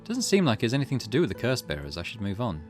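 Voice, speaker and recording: A man's voice: medium pitch, huge pitch swings, racing, faint music behind. A man voicing a wary, reserved young protagonist of a mystery game, hesitant and understated. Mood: guarded.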